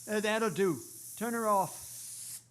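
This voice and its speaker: An actor playing a rough but deferential gang henchman in a radio drama, with gruff working-class speech. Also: high voice, slow, a bit bright, slight background noise. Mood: irritable. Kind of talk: theatrical radio drama acting.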